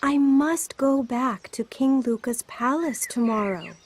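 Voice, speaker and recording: A woman's voice: sits high, measured pace, breathy edge. A woman playing a clever, brave young princess in a storybook, with an American accent and a composed, decisive manner. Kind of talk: storybook dialogue.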